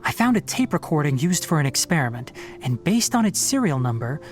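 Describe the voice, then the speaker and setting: A man's voice: fairly high pitch, big pitch swings, brisk. A man voicing a composed, analytical young male forest ranger and scholar in a video game. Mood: calm. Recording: game audio with faint music.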